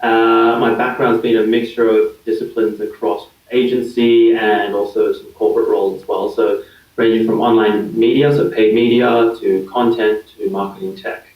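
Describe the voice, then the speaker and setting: A man in his thirties with an Australian accent, explaining his work as a guest in a relaxed interview, with many fillers and restarts. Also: fairly low pitch, moderate pace, soft background music. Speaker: guest, digital consultant. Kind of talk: casual interview answers. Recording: far from mic, echoey, dull lo-fi.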